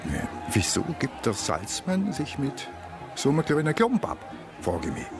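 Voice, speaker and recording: An actor playing a blunt, inquisitive police inspector in a radio drama, questioning and musing. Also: male voice, medium pitch, huge pitch swings, normal speed, music in the background.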